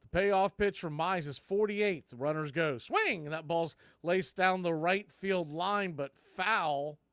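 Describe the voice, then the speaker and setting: A man's voice: high voice, unhurried, a bit bright, smooth, no echo, clean studio sound. A man doing live baseball play-by-play as a stream host, mixing clipped calls with folksy, joking asides and casual chat.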